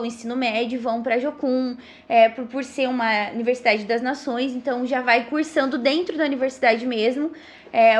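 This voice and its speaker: A Brazilian woman of thirty speaks in a distinctly high voice. She explains things as a podcast guest in a casual, earnest way, halting now and then and tagging her phrases for agreement.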